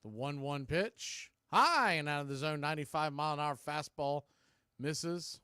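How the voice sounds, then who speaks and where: A man calling a baseball game live in radio play-by-play style, in short clipped phrases between pitches. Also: normal speed, sharp tone, clear, resonant tone, no room sound, studio quality. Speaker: play-by-play baseball announcer and stream host.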